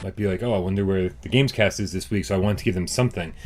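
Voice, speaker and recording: A man hosting a casual gaming podcast, stumbling over words and filling pauses with "uh". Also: sits low, soft background music. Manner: stumbling, repeats words, many fillers. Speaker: podcast host.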